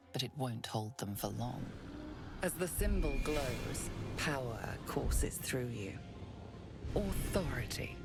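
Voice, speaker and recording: A woman performing as the narrator of a dark fantasy game, speaking in a deliberate, hushed, ominous manner. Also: a bass voice, creaky, heavily acted. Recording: music behind the voice.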